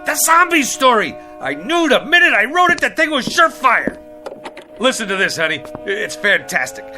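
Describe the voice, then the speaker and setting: An actor playing an eager, pushy, luckless would-be writer, with an American accent and slangy, wheedling patter, in a comic drama. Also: male voice, extremely high pitch, wildly swooping pitch, normal speed, bright, forceful tone, soft background music, heavily acted. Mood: excited. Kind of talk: comic television drama acting.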